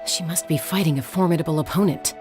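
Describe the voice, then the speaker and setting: An actress playing a calm, assured female warrior companion in a fantasy video game. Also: low voice, fast, over music, natural voice. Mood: calm confidence.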